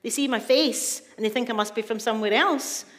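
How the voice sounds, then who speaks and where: A woman's voice: on the high side, fairly quick. A woman from Scotland with a Scottish accent, a writer telling stories live on stage in a chatty, laughing way. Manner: chatty, laughing, animated storyteller. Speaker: writer speaking at a festival. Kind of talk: live storytelling talk. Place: stage in a large hall. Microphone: stage microphone. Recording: long reverb of a big hall.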